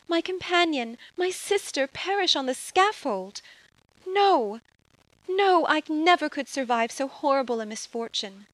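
A woman voicing a gentle young noblewoman, earnest and emotional, in an audio drama. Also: sits high, no echo, low-quality recording. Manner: earnest, emotional, tender pleading.

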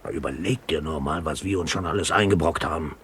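An actor playing a sly, boisterous one-legged pirate quartermaster who curses and wheedles, in a radio play. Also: male voice, very deep voice, fast, fairly bright tone, breathy edge, heavily acted. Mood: discontented, conspiratorial. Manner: cursing, wheedling sailor talk. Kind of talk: 1970s German radio play acting.

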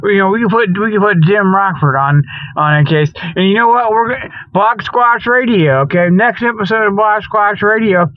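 A man with a notably high voice rambles through a comic radio monologue. His talk is folksy and loose, as a host amused by his own jokes. The sound is very dry and a little muffled, like lo-fi radio. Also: moderate pace, no room sound. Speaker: comedy radio show host. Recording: dull lo-fi radio audio.

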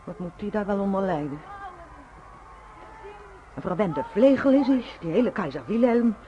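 A woman's voice: mid-range voice, animated, moderate pace, lightly acted. An actress playing an opinionated working-class mother in a radio drama, plain-spoken and insistent, repeating her points. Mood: indignant, scornful. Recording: muffled, noisy old radio drama recording.

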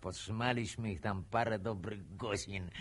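A man's voice: lowish voice, fairly even intonation, normal speed, natural voice. An actor playing a smirking, needling village man in a Polish radio drama, mock-gallant and mocking in manner. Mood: gloating, amused. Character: smirking, needling village man, ex-corporal, teasing a courting couple.

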